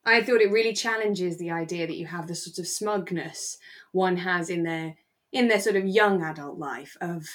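A woman's voice: fairly low pitch, moderate pace. A woman with a British accent chatting casually and reflectively as a podcast co-host. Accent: British English. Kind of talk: casual chat, discussing a radio drama.

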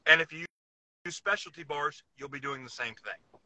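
A man's voice: a little high, moderate pace, sharp tone, no echo, rough recording. A man co-hosting a casual sports podcast, talking earnestly and emphatically, piling up intensifiers. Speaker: podcast co-host.

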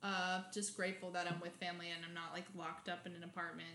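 A woman's voice: fairly low pitch, little pitch movement, fast, sharp tone, reverberant. A young woman, co-hosting a casual podcast and telling dramatic stories with lots of laughter. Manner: chatty, dramatic storytelling with frequent laughs. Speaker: podcast co-host. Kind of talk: casual chat.

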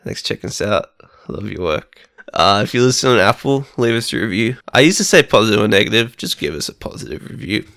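A man's voice: fairly low pitch, big pitch swings, fast talker, gravelly, a bit bright, dry recording, studio quality. A young Australian man co-hosting a casual podcast, bantering with friends in a blunt, sweary, explanatory way. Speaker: podcast co-host.

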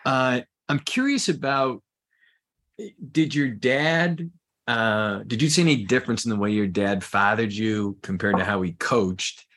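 A man with a somewhat low voice hosts a podcast interview. He asks curious, friendly questions and often stumbles into them with false starts. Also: measured pace, fairly bright tone. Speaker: podcast host. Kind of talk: conversational interview.

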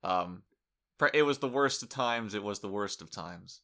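A young man co-hosting a podcast, making dry deadpan jokes in casual chat and restarting his sentences often. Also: lowish voice, normal speed. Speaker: podcast co-host.

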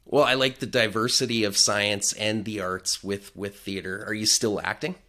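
Low-voiced man interviewing a guest. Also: fairly even intonation, normal speed, pushed and bright. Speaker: interviewer, host. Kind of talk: conversational interview questions.

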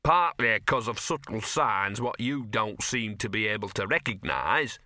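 A man voicing a wry, streetwise sidekick creature in an audiobook, quiet, sardonic and ungrammatical. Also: fairly low pitch, lively intonation, a little slow, no room sound, studio quality, exaggerated voice.

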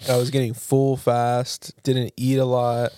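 A man's voice: medium pitch, completely flat delivery, a little slow, mellow, clean tone, dry recording, studio quality. A young man chatting casually as a podcast co-host in an informal, slangy and blunt way. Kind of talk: casual chat between friends.

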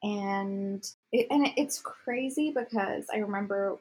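A woman's voice: mid-range voice, slow pace, darkish tone, husky. A woman from Egypt chatting casually as a co-host, telling her story in an informal, tangential way. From Egypt, until about thirteen. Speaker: podcast co-host. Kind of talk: casual chat. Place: remote call.

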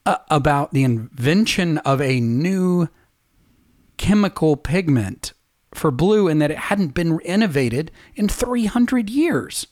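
A middle-aged man talking casually as a podcast co-host, chatty and quick to laugh as he wanders into stories. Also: a little high, animated, a little mellow, no echo, clean studio sound. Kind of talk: casual chat.

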